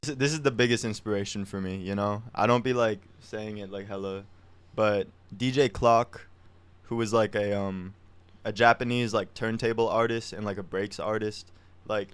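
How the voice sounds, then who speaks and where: A man's voice: fairly low pitch, fairly even intonation, moderate pace, clear, resonant tone. A young man, a musician, chatting casually in an interview with a laid-back, slangy way of talking. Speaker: musician guest.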